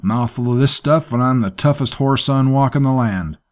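A man's voice: mid-range voice, moderate pace, voice pushed up, close to an everyday voice. A man voicing a boastful, battle-scarred male mercenary who talks brashly.

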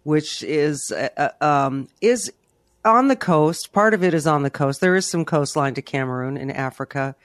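A woman's voice: low-pitched, animated, moderate pace, no room sound. Chatty woman podcast host, dry sound. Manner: chatty, restarts her questions. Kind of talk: interview hosting.

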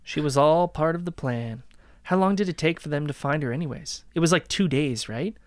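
A man's voice: a little high, a little fast, no echo, lightly acted. Audio drama role: obsessive, gloating ex-boyfriend turned killer played by a man, offhand and taunting delivery, dry recording. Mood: gloating, cruel. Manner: chillingly casual, taunting.

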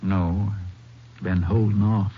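An American actor playing a sympathetic, plain-spoken middle-aged small-town Texas sheriff with a folksy manner, in a 1950s western radio drama. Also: male voice, low-pitched, steady tone, slow. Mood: somber. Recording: muffled, noisy 1950s radio recording.

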